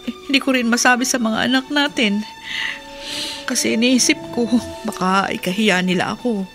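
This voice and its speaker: An actress playing a troubled, secretive wife and mother in a Filipino radio drama, speaking in a halting, earnest, confessing way. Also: fairly high pitch, steady tone, fairly bright tone, soft background music. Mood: distressed confession.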